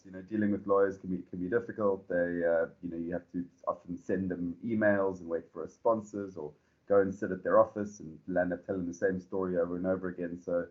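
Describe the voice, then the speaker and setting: A South African man, a startup founder, answering podcast questions in a relaxed, rambling way full of fillers and restarts. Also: sits low, a little fast, highs cut off. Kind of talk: podcast interview.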